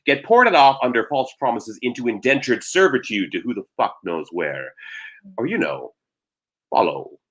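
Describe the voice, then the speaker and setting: A male performer from Trinidad tells stories in a wry, irreverent way. His voice is bright and forceful, and his pitch moves around a lot as he chats. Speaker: playwright and performer, interview guest. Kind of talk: animated solo storytelling and casual chat.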